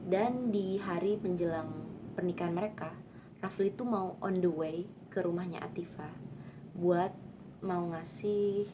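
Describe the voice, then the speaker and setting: A young woman author casually chatting about her own book in an upbeat, filler-heavy way. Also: medium pitch. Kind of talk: casual book talk vlog. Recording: audible background noise.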